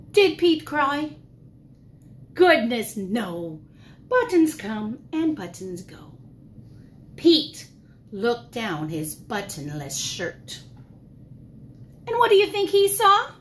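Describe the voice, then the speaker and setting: A woman preschool teacher reading a picture book aloud to young children in an exaggerated, expressive way, asking questions as she goes. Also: on the high side, drawn-out words, long pauses, slight background noise. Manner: gasps and drops to whispers for suspense. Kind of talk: animated children's storytime read-aloud.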